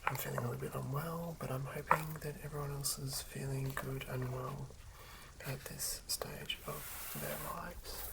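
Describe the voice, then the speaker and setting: Creaky, hushed ASMR whisperer. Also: mid-range voice, flat delivery. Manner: hushed, unhurried, halting. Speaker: host of tabletop roleplaying ASMR videos. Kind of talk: whispered ASMR, reading and commentary. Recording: lo-fi whisper with clear background noise.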